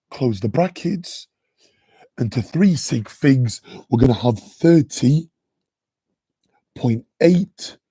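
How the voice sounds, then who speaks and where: A man's voice: mellow, husky, no echo, rough recording. A man teaching a live maths revision lesson, chatty and filling his talk with "okay" and "guys". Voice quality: deep voice. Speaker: maths tutor.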